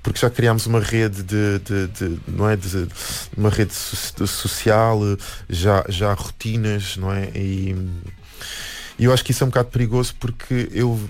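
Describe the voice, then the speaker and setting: A man with a European Portuguese accent, a musician and anthropologist talking reflectively in an interview, pausing and restarting often. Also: lowish voice, fairly even intonation, faint music behind. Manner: hesitant, many restarts and fillers.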